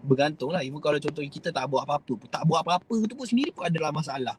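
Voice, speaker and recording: A man's voice: on the high side, racing, slight background noise. A young Malaysian man with a Malaysian Malay accent, giving a rambling, hesitant motivational talk to his viewers. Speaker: host.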